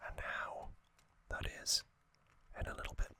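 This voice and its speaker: An ASMR host whispering slowly, rambling through trivia with fillers and musings. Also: pushed and bright, dry recording. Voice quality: unvoiced whisper.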